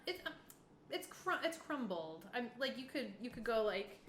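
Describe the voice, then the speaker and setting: A woman's voice: fairly high pitch, sing-song, moderate pace, a bit bright. Woman game master in an echoey room. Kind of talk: casual tabletop game narration. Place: indoor room. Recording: echoey room with faint noise.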